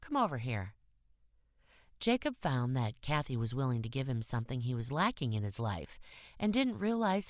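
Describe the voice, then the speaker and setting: A woman's voice: extremely low pitch, no echo, clean studio sound. A woman reading a short story as an audiobook narrator in a scripted style.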